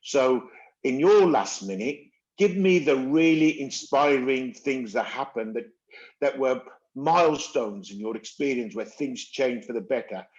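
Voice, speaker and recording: An older man leads the panel in a brisk, encouraging way, hurrying the speakers along. His voice sits in a middle pitch, and the recording sounds a bit dull and muffled. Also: expressive. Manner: brisk and encouraging, pressed for time. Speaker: moderator. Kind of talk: panel moderation.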